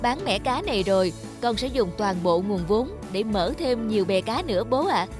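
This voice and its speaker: A man's voice: high voice, lively intonation, moderate pace, music in the background. A man playing a determined, optimistic young man in a cartoon, speaking earnestly and confidently. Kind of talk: cartoon dubbing.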